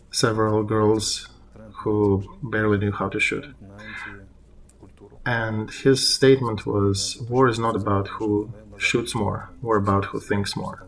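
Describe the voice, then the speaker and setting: A man interpreting a political discussion live, in halting phrases as he translates on the fly. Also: on the low side, low-quality recording.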